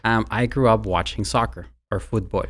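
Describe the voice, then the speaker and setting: A man's voice: on the low side, moderate pace, a bit bright. A man from Mexico with a Mexican Spanish accent, a university marketing executive talking as a guest in a conversational interview, who often repeats words as he starts a sentence.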